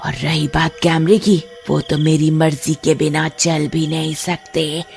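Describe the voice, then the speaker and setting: A woman acts out a bloodthirsty witch from a horror cartoon. She gloats and taunts slowly with menace, in a lowish voice over clear background music. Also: little pitch movement, darkish tone. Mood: menacing. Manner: menacing, drawn-out taunting with cackles. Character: gloating bloodthirsty female witch haunting a mansion. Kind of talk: Hindi horror cartoon voice acting.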